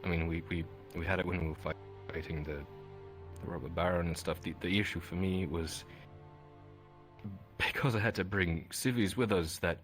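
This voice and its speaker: A man's voice: low voice, steady tone, moderate pace, fairly bright tone. A man playing a weary, guilt-ridden young soldier leader in a fantasy roleplay, talking bluntly. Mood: frustrated. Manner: blunt, sweary. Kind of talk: live fantasy roleplay, improvised dialogue. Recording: music behind the voice.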